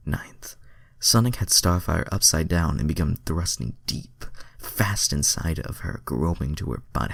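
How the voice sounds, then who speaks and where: A man's voice: very deep voice, gravelly, no room sound. A man narrating fan fiction as a dramatic reading, breaking off into casual asides to his viewers.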